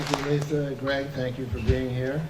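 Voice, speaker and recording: A man interviewing an author on stage before an audience, speaking haltingly with many restarts. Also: medium pitch, flat delivery, echoey room, a loud crowd, heavy background noise. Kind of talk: onstage interview. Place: event hall with an audience.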